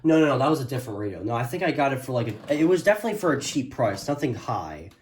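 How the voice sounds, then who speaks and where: A man's voice: medium pitch, big pitch swings, rapid-fire, darkish tone. A man hosts a casual show-and-tell in a slightly noisy home recording with small clicks. His delivery is unscripted and hesitant. He rambles along, backing up and correcting himself as he goes. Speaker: host of a radio collection video.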